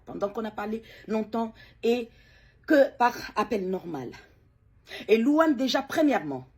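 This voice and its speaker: A middle-aged woman, a bereaved mother, giving an emotional personal account in a hesitant way, with many pauses and repeated words. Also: a little high, darkish tone, slightly rough.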